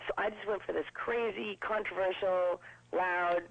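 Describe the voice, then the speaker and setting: A woman in her twenties with a low voice, chatting upbeat as a guest in an interview. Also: steady tone, creaky, husky. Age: 20s. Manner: chatty and upbeat, quick with jokes. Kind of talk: casual interview. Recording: dull lo-fi broadcast sound.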